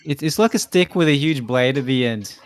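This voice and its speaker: A man's voice: mid-range voice, expressive, a little fast. A man as a guest in a casual chat, easygoing and a little hesitant.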